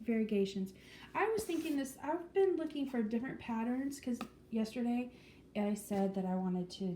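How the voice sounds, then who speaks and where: A woman's voice: mid-range voice, mellow. A woman vlogger chatting casually and rambling with many ums and restarts, sometimes dropping to a whisper. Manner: drops to a whisper at times. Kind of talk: casual vlog chat. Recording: echoey room with faint noise.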